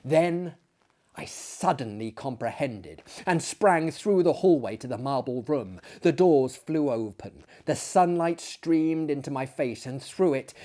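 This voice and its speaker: Male narrator, medium pitch, theatrical storytelling delivery with hushed phrase endings. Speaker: narrator and performer. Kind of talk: dramatic audiobook narration.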